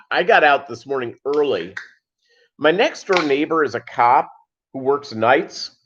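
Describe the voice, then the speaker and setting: A dull, lo-fi livestream recording of a man with a mid-pitched voice. He hosts a casual chat, rambling from one thought to the next and tossing in deadpan, joking asides. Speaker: host of a live fishkeeping chat show.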